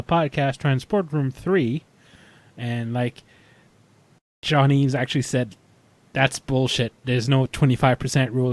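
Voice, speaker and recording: A man in a casual fan chat, speaking haltingly and often repeating words as he goes. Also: medium pitch, a little mellow. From Canada. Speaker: podcast panelist.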